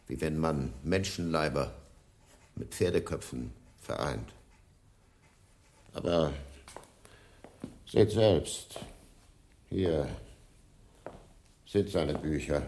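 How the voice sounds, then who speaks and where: Very deep, stern monastery librarian. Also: male voice, mellow, full character voice. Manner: solemn, measured, formal. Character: gaunt, stern, aloof monastery librarian, male. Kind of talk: German radio play acting. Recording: faint noise, 1980s radio play.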